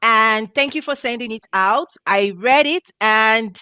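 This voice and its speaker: A woman's voice: fairly high pitch, unhurried, pushed and bright, clear, resonant tone, no echo. A middle-aged woman from Nigeria hosting a video call interview on a headset, bubbly and laughing often. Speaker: host. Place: home office with diplomas.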